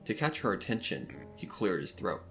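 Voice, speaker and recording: A man's voice: fairly low pitch, big pitch swings, moderate pace. A man reading a story aloud as narrator, in a dramatic scripted narration style. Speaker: fan fiction narrator. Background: music.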